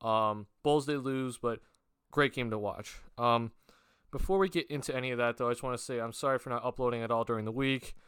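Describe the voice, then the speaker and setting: A man's voice: mid-range voice, steady tone, brisk, fairly bright tone, smooth, no room sound, studio quality. Young male sports vlogger, sick with a cold, rambling and filler-heavy, clean resonant tone. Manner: rambling with many fillers and restarts. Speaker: basketball vlogger. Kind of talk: casual sports talk to camera.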